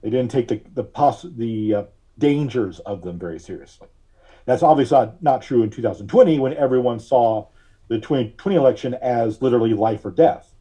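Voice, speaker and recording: A man speaking as a podcast guest, giving conversational political commentary in a measured, wry, halting way. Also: medium pitch, mellow, breathy edge.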